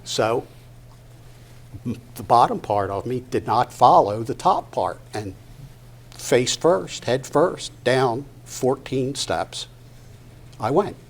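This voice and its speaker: An older man giving a personal testimony to an audience at a live event, halting and deliberate, pausing mid-sentence. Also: mid-range voice, very animated. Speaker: guest speaker at a patient discussion event. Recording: noticeable background noise, live event.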